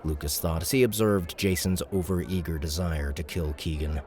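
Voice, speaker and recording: Male audiobook narrator; very low, steady, measured. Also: a faint crowd. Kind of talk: scripted narration.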